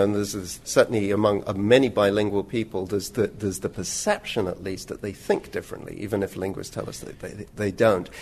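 A male radio host asking questions in a measured, thoughtful way. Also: fairly low pitch, darkish tone, breathy edge. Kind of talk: radio interview.

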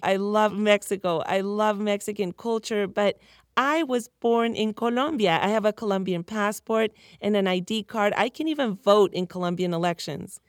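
A woman's voice: mid-range voice, moderate pace, no echo, studio quality. A woman, a reporter narrating a podcast story in a candid, personal way. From United States (Miami). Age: 30s.

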